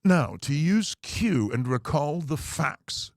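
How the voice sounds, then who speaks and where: A man voicing a pompous, cerebral, self-assured prosecutor in a video game, speaking formally and in measured phrases. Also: on the high side, lively intonation, no room sound, pitched below the performer's own voice. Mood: pensive, analytical. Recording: loud music behind.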